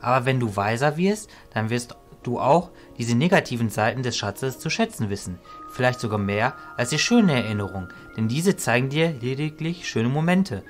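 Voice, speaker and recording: Man playing a calm, wise illusionist mentor. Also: medium pitch, soft background music, close to an everyday voice. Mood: wise, instructive. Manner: measured, sage, didactic.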